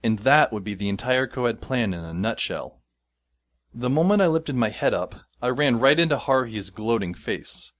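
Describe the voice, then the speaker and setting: A man's voice: mid-range voice, expressive, normal speed, a bit bright. A man narrating a story in the first person with a dry, sardonic manner.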